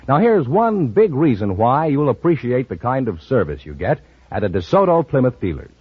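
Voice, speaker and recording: Husky, very animated American radio pitchman. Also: no room sound. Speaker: commercial announcer. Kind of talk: scripted 1950s radio sponsor pitch. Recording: dry, dull vintage radio recording.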